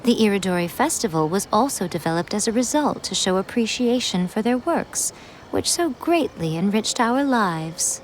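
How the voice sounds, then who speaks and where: A woman's voice: medium pitch, lively intonation, normal speed, slight background noise, close to an everyday voice. A woman voicing an elegant, sly, teasing priestess in an anime game, with a poised, playful, condescending manner. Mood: storytelling, composed. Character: elegant, sly, teasing adult noblewoman, shrine priestess and publisher. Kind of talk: anime game character acting. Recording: music behind the voice.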